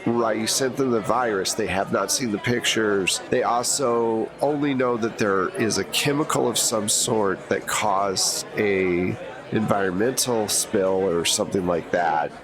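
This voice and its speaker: A man's voice: lowish voice, a little slow. Man running a tabletop game, crowd behind. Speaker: game master.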